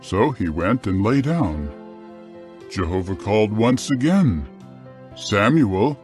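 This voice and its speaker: A man narrating dramatized scripture in a solemn, measured, clearly phrased manner. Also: lowish voice, expressive, a little mellow, soft background music.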